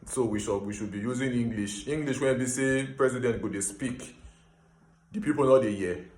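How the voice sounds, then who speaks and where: A Nigerian man with a Nigerian accent, hosting a casual explainer monologue and switching between Pidgin and English with emphatic, animated delivery. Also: mid-range voice, normal speed, echoey room. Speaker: host.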